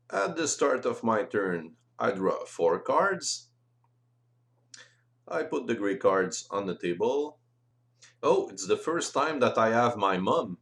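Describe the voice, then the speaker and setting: A man whose native language is French, explaining game rules in careful, halting, non-native English as an instructional voice-over. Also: mid-range voice.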